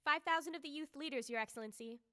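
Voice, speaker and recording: A woman's voice: high voice, fast, sharp tone. A woman playing a timid, obedient orderly in a radio drama, speaking in a nervous, deferential way. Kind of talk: old-time radio drama acting.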